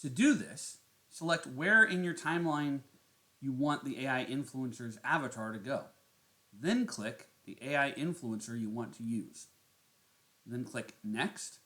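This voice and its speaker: Guy doing a software how-to, really bright crisp-edged voice, normal pitch. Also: a little slow. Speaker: tutorial host. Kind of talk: step-by-step software tutorial narration.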